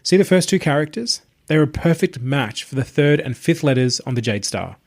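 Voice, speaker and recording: A man's voice: fairly high pitch, expressive, moderate pace, pitched above the performer's own voice. A man voicing an eager, persuasive young male archaeology student in an audiobook, with a keen, playful manner. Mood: excited. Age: young adult. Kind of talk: audiobook character voice.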